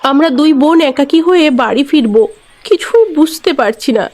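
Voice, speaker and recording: Woman doing a young lady ghost in a horror tale, high voice, very insistent. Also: lively intonation, normal speed, darkish tone. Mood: pleading. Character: young female ghost posing as stranded wedding guest. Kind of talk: dramatised horror audio story acting.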